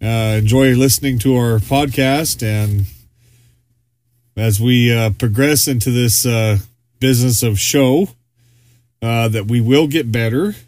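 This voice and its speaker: A man's voice: medium pitch, unhurried, darkish tone, no echo. A man co-hosting a podcast in casual chat, rambling with lots of fillers and restarts. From Arizona, US. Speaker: podcast co-host.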